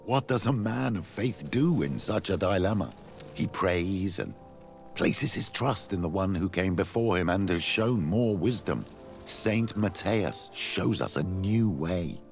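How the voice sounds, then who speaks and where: An actor playing a grave, devout older priest with a gravelly voice and a measured, oratorical delivery in a video game. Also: male voice, low voice, animated, faint music behind. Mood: solemn persuasion. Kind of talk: video game drama acting.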